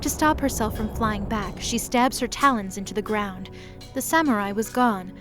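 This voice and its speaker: A woman narrates a fantasy audio drama in a steady storytelling voice of middle pitch. Faint music plays behind her. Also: moderate pace.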